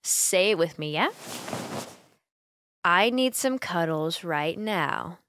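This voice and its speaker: A woman playing a caring, playful young female roommate who gently coaxes and teases her friend in an intimate ASMR roleplay. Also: fairly low pitch, animated, takes it slowly, a little hiss or hum, full character voice. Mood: affectionate, coaxing. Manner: playful, gently coaxing, giggly, hesitant. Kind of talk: ASMR roleplay, intimate one-sided conversation.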